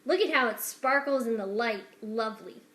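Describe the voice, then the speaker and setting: Sinister storybook rat acted by a woman, male villain, oily politeness, deliberate condescending commands, roomy echo. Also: fairly high pitch, fairly bright tone, lightly acted. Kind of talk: dramatic children's storybook reading. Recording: echoey room.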